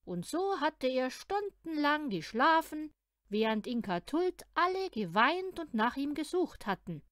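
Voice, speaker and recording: A woman's voice: high voice, very animated, a little slow, a bit bright, no echo, clean studio sound. A woman narrating a children's story from a script, expressively and as if speaking to a young listener. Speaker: audiobook reader.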